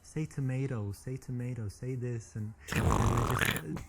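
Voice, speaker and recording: A man's voice: medium pitch, a little slow, a little hiss or hum, lightly acted. Guy playing cocky english dude hitting on a girl in a video game, smug bragging, mid voice.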